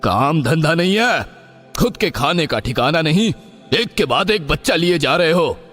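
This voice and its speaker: A man playing a cruel, scornful bully in an animated moral story, menacing in manner, with a reverberant recording. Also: a little high, unhurried. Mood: scornful anger. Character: cruel, bullying boss and callous official, adult man. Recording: long hall reverb, music behind.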